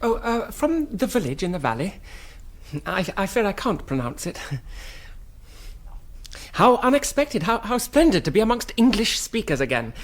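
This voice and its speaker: An actor playing a polite, naive young English gentleman in a radio drama, genteel and hesitant. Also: high voice, big pitch swings, normal speed. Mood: politely delighted. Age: young adult. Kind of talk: BBC radio drama acting and first-person narration.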